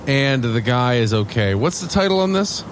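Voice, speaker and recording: A man's voice: medium pitch, sing-song, normal speed, a little hiss or hum. A man hosting a podcast, chatting and telling stories casually with a dry, joking delivery. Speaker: podcast host. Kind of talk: casual chat and storytelling.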